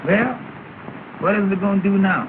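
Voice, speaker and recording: An actor playing a timid, nervous young man who talks in a slow, hesitant drawl, in a 1930 film comedy. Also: high voice, close to an everyday voice. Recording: scratchy tinny 1930 film soundtrack with hiss.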